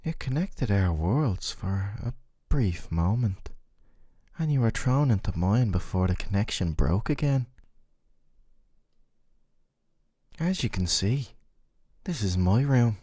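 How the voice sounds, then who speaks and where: Male actor as doting, possessive game boyfriend for ASMR roleplay; soft, dark timbre, very lively intonation. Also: fairly low pitch, unhurried, slightly rough, no room sound, clean studio sound. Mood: gently coaxing. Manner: soft, coaxing, articulate, teasing tag questions. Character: possessive, gentle yandere dating-sim boyfriend, doting and smug. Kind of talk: scripted ASMR roleplay monologue to the listener.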